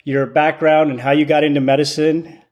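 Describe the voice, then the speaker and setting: A man hosting a podcast, introducing topics and interviewing guests in a somewhat halting way. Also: fairly high pitch, little pitch movement, a little mellow, dull audio. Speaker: podcast host, physician.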